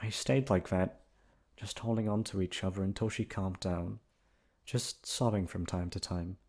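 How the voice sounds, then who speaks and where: Male ASMR storyteller, lower-pitched, reading a scripted bedtime tale. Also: steady tone, moderate pace, a little mellow.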